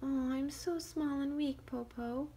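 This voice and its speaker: A woman voicing a clever, quick-witted eldest girl in a fairy tale, eager and lively. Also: high voice, a little hiss or hum, pitched above the performer's own voice.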